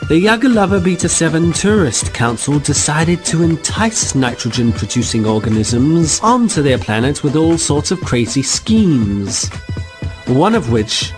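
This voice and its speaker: A man narrating a radio drama in a measured, wry, mock-scholarly way. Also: a little high, sing-song, over music. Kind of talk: scripted narration.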